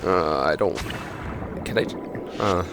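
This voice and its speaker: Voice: sits low, takes it slowly, noisy background. A streamer reacting casually to a game, playful, breaking into singsong noises and little sound effects. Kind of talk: casual live reaction chat.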